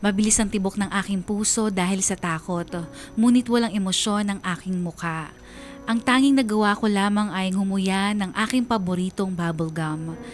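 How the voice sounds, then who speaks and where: A woman's voice: medium pitch, moderate pace, soft background music, natural voice. An actress playing a sorrowful daughter who earnestly narrates her family's story in a radio drama. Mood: tense, numb.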